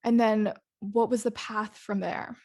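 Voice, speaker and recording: A woman's voice: a little high, flat delivery, unhurried, warm tone. A young woman co-hosting a podcast, talking in a hesitant, casual way with many fillers. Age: young adult. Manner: hesitant, lots of "like" and "I don't know". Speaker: podcast co-host. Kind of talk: casual chat.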